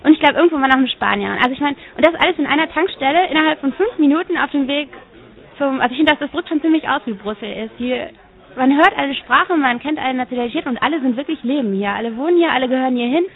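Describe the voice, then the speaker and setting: A German woman giving lively interview answers and piling up examples. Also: high voice. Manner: fast, animated, piles up examples. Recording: tinny, phone-like radio sound.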